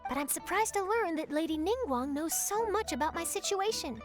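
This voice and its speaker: Very high-pitched eager young reporter. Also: female voice, fairly quick, music in the background. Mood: friendly, eager. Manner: polite, animated, upbeat. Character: bright, eager young female journalist, friendly and curious. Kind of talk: video game cutscene voice acting.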